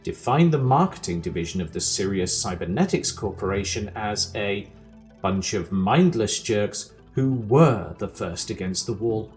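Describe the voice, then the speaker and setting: An actor playing a dry, wry narrator of a galactic guidebook, reading scripted comedy. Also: male voice, fairly low pitch, animated, unhurried, darkish tone. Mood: dry, mock-official. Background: music.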